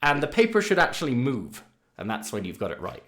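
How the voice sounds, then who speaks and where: A man in his thirties, a regular guest and English teacher, talking in a relaxed way and letting his phrases trail off quietly, on a video call. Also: medium pitch, fairly quick. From Ireland. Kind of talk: casual teaching chat. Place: home room, indoors. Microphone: studio mic on a video call.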